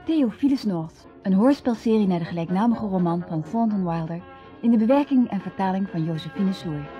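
A woman's voice: medium pitch, expressive, a little fast. A woman reading scripted credits as a radio drama announcer. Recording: dull old radio broadcast recording. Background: music.

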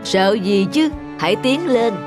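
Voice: medium pitch, expressive, unhurried, natural voice. An actor playing a kindly film director in a cartoon, speaking in a reassuring, encouraging manner. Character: kindly grown male film company director. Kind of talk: animated moral tale dialogue. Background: background music.

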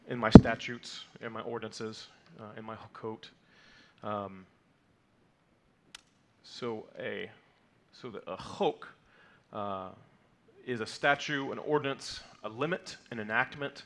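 A man's voice: medium pitch, slight background noise. A man in his thirties teaching a weekly Torah portion to a congregation from a lectern, explaining terms patiently with frequent fillers and "okay?" check-ins. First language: not Hebrew. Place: sanctuary, mid-size indoor hall.

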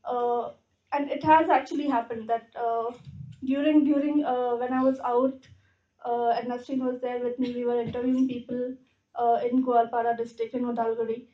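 A woman's voice: fairly high pitch, level tone, normal speed. A young woman with an Indian accent giving an informal, carefully phrased talk as a guest speaker in an auditorium. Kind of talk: informal public lecture. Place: lecture auditorium stage. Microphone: podium microphone, picked up from afar. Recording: roomy, dull, distant hall recording.

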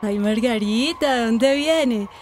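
A woman's voice: fairly high pitch, slow, noisy background. A Colombian woman playing a nosy, teasing teenage schoolgirl in a radio soap. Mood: teasing, astonished.

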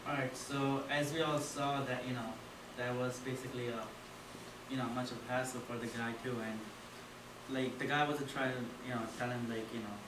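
A young man from India gives a casual class talk, full of fillers, in a flat, even voice. He stands well away from the camera in a roomy, echoing classroom, with a steady hiss under him. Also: medium pitch, brisk. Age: young adult. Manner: casual, filler-heavy, loose phrasing. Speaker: student presenter. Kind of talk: class presentation. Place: dim classroom with projector. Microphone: far camera. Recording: echoey room from far off with noise.

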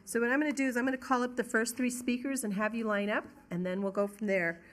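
A woman chairs a formal board meeting, reading the rules for public comment slowly and deliberately. When she calls up speakers, her tone turns more casual. She speaks into a desk microphone in a large council chamber. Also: fairly high pitch, fairly even intonation, a bit bright. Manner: reads rules slowly, then corrects herself casually.

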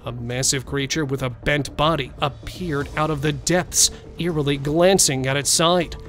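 A man narrates a scripted countdown in a slightly higher-than-average voice. He builds suspense and turns to the viewer with rhetorical questions. Quiet music plays underneath him. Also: steady tone, normal speed.

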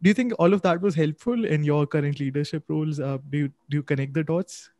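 Podcast host: young man, slightly high voice, close and dry on a desk mic. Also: fast talker, darkish tone, dry recording. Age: young adult. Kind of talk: podcast introduction and interview questions. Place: small home office, indoors. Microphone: close desk microphone.

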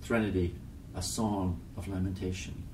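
Low, mellow male poetry reader, deliberate and spare, slight background noise. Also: little pitch movement, distant mic, rough recording. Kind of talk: literary poem reading.

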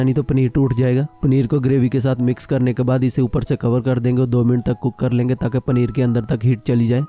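A man narrating a cooking video voice-over, explaining each step calmly and plainly. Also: mid-range voice. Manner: calm step-by-step instruction. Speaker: cooking channel host.

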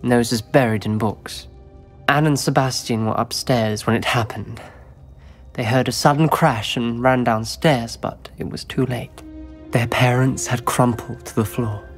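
A man's voice: mid-range voice, fairly even intonation, unhurried, soft background music, lightly acted. A young man with a British accent playing an anxious, principled teenage wizard in a game cutscene, grave, precise and reproachful. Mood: grave, worried. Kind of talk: video game cutscene acting.

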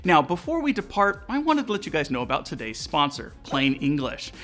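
A high-pitched, lively American man in his forties presents a scripted explainer. His voice is bright and forward, with music playing underneath. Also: a little fast. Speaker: aviation channel host. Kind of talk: scripted explainer narration to viewers. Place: indoor studio room with aviation decor.

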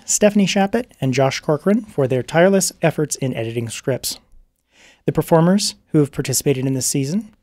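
A man's voice: mid-range voice, a little mellow, no echo, clean studio sound. A man giving a polite, formal scripted introduction as producer and host of a radio drama. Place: radio studio, live broadcast.

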